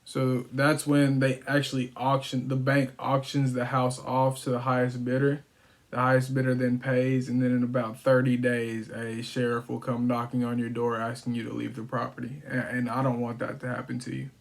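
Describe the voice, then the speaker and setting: A man's voice: mid-range voice, completely flat delivery, normal speed, creaky. A man who works as a real estate wholesaler, speaking casually and informally as he explains things, as on a sales call. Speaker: real estate wholesaler making cold calls. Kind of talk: casual chat and phone sales calls.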